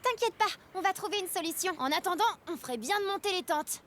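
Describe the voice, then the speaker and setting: A woman voicing a reassuring, sensible young animal child in a children's cartoon, explaining things calmly. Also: extremely high pitch, fast, a little hiss or hum. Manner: calming, explanatory. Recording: loud music behind.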